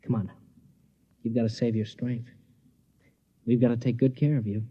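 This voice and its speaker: A man's voice: medium pitch, steady tone, normal speed, slightly rough, close to an everyday voice. Male actor as earnest, reassuring pilot husband, 1950s radio play, tender manner, slightly muffled lo-fi sound. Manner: gentle, earnest. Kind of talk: 1950s radio drama acting.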